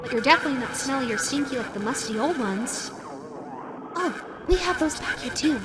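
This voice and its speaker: A woman's voice: high-pitched, a little fast. A woman voicing a playful, lonely female animatronic fox in a roleplay audio drama, chatty, coy and teasing as she talks to the listener. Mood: gossipy, lonely. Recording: loud steady background noise.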